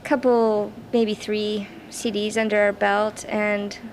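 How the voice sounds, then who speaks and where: A young Canadian woman with a young-sounding voice, the bassist of a rock band, giving earnest, casual answers in an interview. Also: level tone, slow pace, low-quality recording. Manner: earnest, laughs easily. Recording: raw camcorder audio with background noise.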